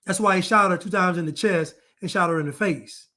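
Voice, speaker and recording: A man's voice: high voice, a little fast, pushed and bright. A man talking to his viewers, breaking down a clip and asking pointed rhetorical questions again and again. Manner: emphatic, repeats rhetorical questions. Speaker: commentator. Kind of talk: true crime commentary.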